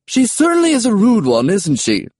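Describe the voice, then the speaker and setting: High, posh British Triceratops. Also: male voice, huge pitch swings, normal speed, a little mellow, husky, dry recording, clean studio sound, full character voice. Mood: affronted. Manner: posh, fussy. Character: genteel, indignant male Triceratops, small talking dinosaur.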